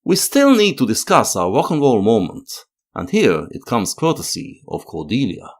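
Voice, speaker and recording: A man narrating a scripted analytical video essay in a measured, expressive way. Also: medium pitch.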